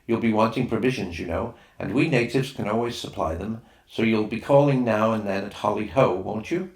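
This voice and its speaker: A man voicing a kindly, brisk mother who playfully poses as a native in a storybook. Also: fairly low pitch, lightly acted. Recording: roomy, echoing room sound.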